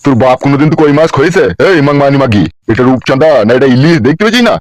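A man's voice: medium pitch, animated, very fast, natural voice. A man voicing a hot-tempered, boastful, crude village husband in a comic cartoon. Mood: scolding, boastful. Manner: blustering, scolding, crude. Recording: noisy amateur voice track with clicks.

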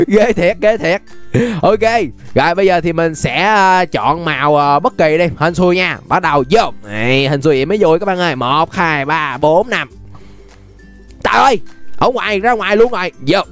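Excitable young Southern Vietnamese man, music behind. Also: a little high, normal speed. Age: young adult. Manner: excitable, playful, exclamatory. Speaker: gaming YouTuber host. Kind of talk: casual gameplay commentary. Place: indoor room, webcam setup.

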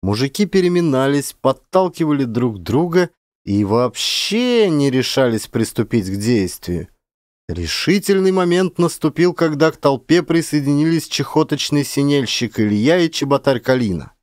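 A male audiobook narrator performs a literary tale. His pitch moves in lively swings, and the recording is dry and close, with no room sound. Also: normal speed, dry recording.

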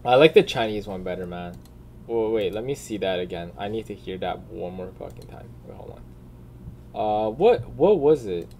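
A man's voice: on the low side, lively intonation, normal speed, vocal fry, mellow. A man giving blunt, informal reaction commentary as a gaming video creator, swearing casually. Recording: faint background noise, keyboard typing. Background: computer keyboard typing and clicks.

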